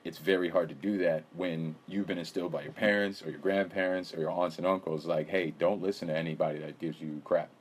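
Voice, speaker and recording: Very deep-voiced male podcast host. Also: fairly even intonation, brisk, few pauses, breathy edge. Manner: rambling, hedging with fillers and frequent laughs. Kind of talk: casual conversational interview.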